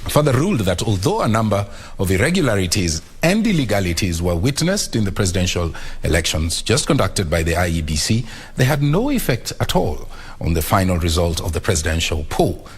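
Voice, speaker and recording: A Kenyan man anchors the news in a formal, scripted register. His voice is low and a little rough. His intonation moves widely as he reads. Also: big pitch swings, a little fast.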